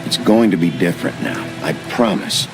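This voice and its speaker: Tired wolf detective, very low gravelly voice, blunt, loud game music. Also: male voice, big pitch swings, normal speed, heavily acted, rough recording. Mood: weary. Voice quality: gravelly, deep. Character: gruff weary male detective, a wolf in human form.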